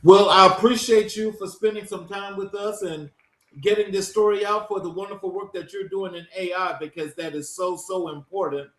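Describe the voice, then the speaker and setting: A man's voice: high voice, steady tone, moderate pace, fairly bright tone, echoey room, rough recording. A man hosting an interview show, opening with dramatic, emphatic lines and asking heartfelt questions. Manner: dramatic, emphatic intro delivery. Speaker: show host.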